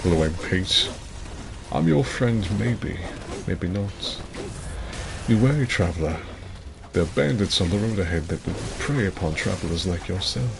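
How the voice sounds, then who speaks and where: A man's voice: low-pitched, expressive, measured pace, vocal fry, noisy background, full character voice. A man voicing a shifty, falsely friendly traveler who talks in a sly, teasing singsong.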